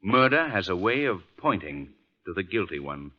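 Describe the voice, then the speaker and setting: An actor playing an assured, urbane gentleman sleuth in a polished 1940s radio drama style. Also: low voice, very animated, normal speed. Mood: grave, explanatory. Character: suave, assured gentleman amateur sleuth, adult man. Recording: muffled vintage 1940s radio recording.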